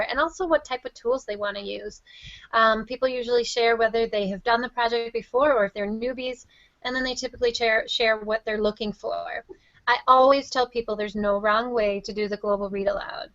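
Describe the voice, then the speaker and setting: A woman's voice: on the high side, no room sound, lo-fi sound. A woman giving enthusiastic, effusive, conversational answers as an interview guest. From Wisconsin, United States. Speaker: interview guest, teacher and reading project founder.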